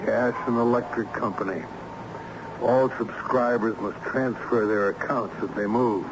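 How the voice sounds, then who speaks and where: Brisk, driven attorney played by a man, vintage radio thriller style, dull noisy broadcast sound. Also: medium pitch, steady tone, close to an everyday voice. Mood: brisk, determined. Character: brisk, determined male lawyer on a hunt. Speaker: actor in a radio drama. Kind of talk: vintage radio drama acting.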